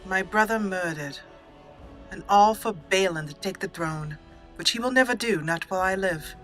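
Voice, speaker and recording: A woman hosting a gaming channel with a bright, forward voice at a middle pitch. She chats through a roleplay let's play, giggly and informal, sometimes reading lines in character. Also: normal speed, soft background music.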